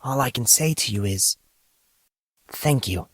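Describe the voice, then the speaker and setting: A man voicing a calm, grave, otherworldly teenage boy in an audiobook, with a measured and stern manner. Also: medium pitch, dry recording. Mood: wistful. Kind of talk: audiobook character acting.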